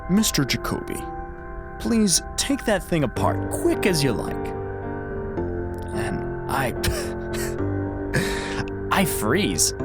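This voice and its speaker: Music plays under a wry American demolitions agent in an audio drama. He is deadpan and matter-of-fact, with a loyal streak, and his mid-range voice moves freely up and down. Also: medium pitch. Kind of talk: audio drama acting, naturalistic dialogue. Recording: music behind the voice.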